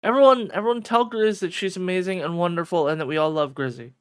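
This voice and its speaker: A livestreamer chatting casually and excitably with viewers, swearing freely as they banter. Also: medium pitch, quick pace, clean tone, no echo, studio quality. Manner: excitable, profane, bantering with chat. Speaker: streamer. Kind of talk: casual livestream chat and reading a visual novel aloud.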